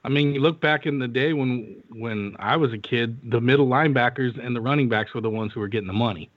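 A man's voice: mid-range voice, fast, dry recording. Brief: podcast co-host, man, plain-spoken and exasperated, dry lo-fi mic. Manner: blunt, swearing, exasperated. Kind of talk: casual podcast chat.

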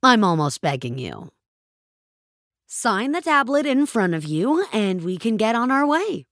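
A woman's voice: fairly high pitch, big pitch swings, a little slow, fairly bright tone, no echo, studio quality, exaggerated voice. A woman voicing a weary male public defender, officious and coaxing, in an audio fiction story. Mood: weary, pleading.

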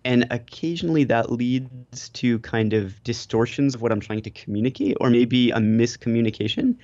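A man's voice: medium pitch, animated, normal speed, darkish tone, dry recording, dull audio. An American man, a guest on a podcast, chatting casually and telling self-deprecating stories. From United States. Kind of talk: casual chat.

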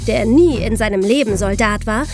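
A woman's voice: on the high side, normal speed, faint music behind. A woman co-hosting a podcast, moving between scripted historical narration and casual banter. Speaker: podcast co-host.

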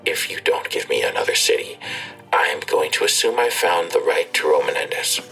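A menacing internet ghost speaks low, with a hard bright edge. The delivery is clipped, sinister and commanding, like a dark dealmaker in an audio drama, with music underneath. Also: male voice, brisk, poor audio. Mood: threatening, distrustful. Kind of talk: full-cast audio drama.

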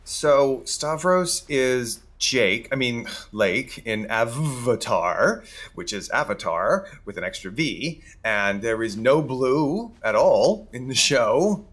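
A man's voice: mid-range voice, expressive, takes it slowly, fairly bright tone. An actor playing a nervous, stammering male theater composer in an audio comedy. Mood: flustered.

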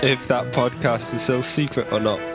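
Male podcast guest with loud music behind. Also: medium pitch, fairly even intonation, moderate pace. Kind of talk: casual chat. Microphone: internet voice call.

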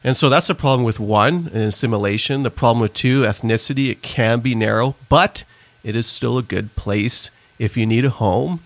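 A man's voice: mid-range voice, moderate pace, no echo. A man from Trinidad, an author and pastor, speaking earnestly as an interview guest and explaining his ideas at length. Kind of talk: interview conversation.

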